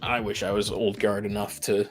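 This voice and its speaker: A man's voice: on the low side, little pitch movement, moderate pace, soft background music. A man in a casual podcast discussion, speaking in hesitant, stop-start phrases. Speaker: podcast panelist. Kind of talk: casual chat.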